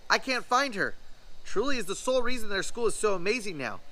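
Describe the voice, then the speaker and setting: A man's voice: sits high, very animated, brisk, pushed and bright, pitched above the performer's own voice, exaggerated voice, low-quality recording. A man voicing a sad, earnest young schoolboy in a storybook, plaintive and pleading. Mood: worried. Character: sad, earnest young schoolboy missing his best friend.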